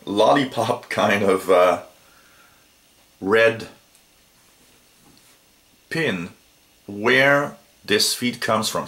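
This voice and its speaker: Deep-voiced man, echoey walkthrough. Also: pushed and bright. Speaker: app reviewer. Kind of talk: casual unscripted app walkthrough. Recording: roomy, echoey home recording.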